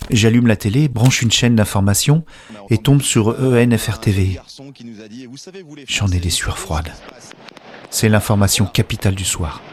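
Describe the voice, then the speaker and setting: A man acting as a troubled, sleepless man with a lowish voice. He narrates a science-fiction audio drama in the first person, brooding in short, clipped sentences and distrustful of the technology around him. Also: a little mellow, breathy edge. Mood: stunned, anxious. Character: Abel, shaken sleepless man distrustful of a soulless tech system. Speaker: narrator and main character.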